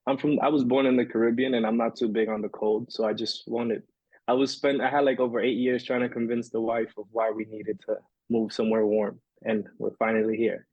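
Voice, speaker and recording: A man's voice: medium pitch, little pitch movement, a little fast, slightly rough, dry recording, dull audio. A man from the Dominican Republic, in his thirties, talking as a podcast guest in a loose, rambling way with many fillers and restarts. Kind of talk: casual interview storytelling.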